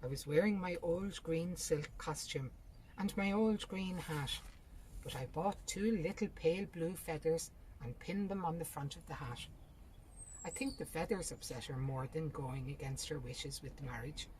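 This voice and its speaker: A woman's voice: low voice, moderate pace, a little mellow, a little hiss or hum, natural voice, low-quality recording. A woman voicing a wistful, wounded married daughter who is proud yet pleading. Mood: wistful hurt.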